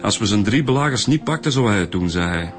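A man's voice: fairly low pitch, lively intonation, quick pace, over music, close to an everyday voice. A man with a Flemish accent playing a down-to-earth, wry police detective in a radio drama.

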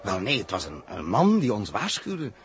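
A man's voice: lowish voice, wildly swooping pitch, normal speed, slight background noise. An actor playing an intense, brooding male astronaut who repeats phrases emphatically in a 1960s radio drama. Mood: grave, urgent.

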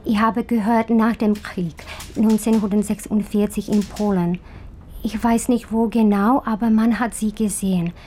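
A woman's voice: medium pitch, steady tone, normal speed, warm tone, a little hiss or hum, lightly acted. An actress in a radio drama playing an anxious Holocaust survivor searching for her lost sisters, hesitant and insistent. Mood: urgent, insistent.

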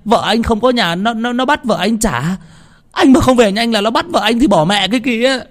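A man's voice: very high voice, moderate pace, pitched above the performer's own voice. A man voicing a panicked young villager in an audio story, stammering and repeating his words breathlessly. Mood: panicked, urgent.

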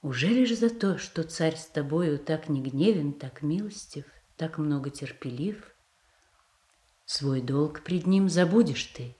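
An actress playing a dignified, reproachful tsaritsa in a radio play, speaking in a stately, deliberate way. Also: female voice, sits low, lively intonation, dark tone. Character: dignified, reproachful tsaritsa, loyal to her husband. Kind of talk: theatrical verse acting in a radio play. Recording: roomy, echoing stage sound.

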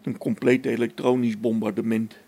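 A man's voice: medium pitch, little pitch movement, moderate pace, creaky, warm tone, husky. An actor playing a harried space station engineer in a radio play, thinking aloud as he reasons things out. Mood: defensive, searching.

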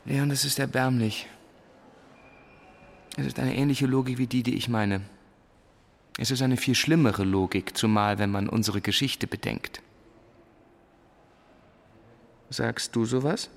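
Gravelly, earnest male schoolteacher. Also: medium pitch. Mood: stern, probing. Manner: earnest, deliberate, dry. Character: idealistic male teacher, earnest, principled, wryly guarded. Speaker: school teacher. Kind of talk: German radio drama acting.

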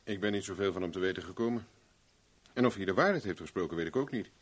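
An actor playing a terse, hard-boiled investigator in a 1970s radio drama, dry and understated in delivery. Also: male voice, sits low, lively intonation, fast, breathy edge. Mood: guarded, matter-of-fact.

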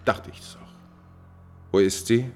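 An actor playing a smooth, calculating marquis and court chamberlain with mocking courtesy in a mid-century radio drama. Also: male voice, slow, mellow, faint music behind, close to an everyday voice. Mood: scheming.

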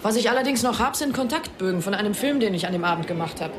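A woman's voice: mid-range voice, lively intonation, fast, background noise, natural voice. A woman playing a composed blonde fashion photographer who speaks in an earnest, matter-of-fact way. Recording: studio radio play with music bed.

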